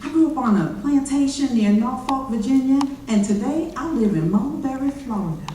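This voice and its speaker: A woman plays a very old, formerly enslaved woman giving a deliberate, folksy stage testimony. The voice is mellow and slightly high, carried from a distance through a heavily reverberant chapel. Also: animated. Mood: reflective. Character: ninety-six-year-old woman, formerly enslaved, recounting plantation life. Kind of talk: readers theater monologue. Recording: very echoey chapel, far-off mic, faint noise.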